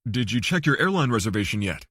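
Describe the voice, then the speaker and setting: A man's voice: mid-range voice, big pitch swings, fast, a bit bright, no echo, studio quality, voice pushed down. A man voicing a sociable, casual island-born man in an audiobook. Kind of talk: audiobook character dialogue.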